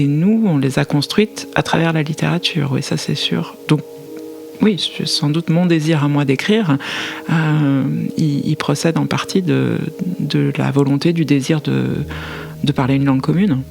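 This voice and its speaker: Gravelly, hesitant French woman author. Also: low-pitched, darkish tone. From Paris, France. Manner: hesitant, many fillers and restarts. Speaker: author guest. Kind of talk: reflective interview answer.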